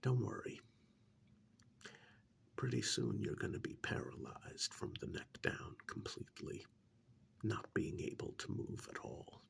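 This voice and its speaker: A cold, methodical killer , acted by a man in an ASMR role-play. He speaks very slowly in a low, menacing whisper, heavy with gravelly fry. Each phrase is deliberate and gloating, with pauses between. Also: animated, full character voice. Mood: calm gloating. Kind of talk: soft-spoken ASMR role-play monologue.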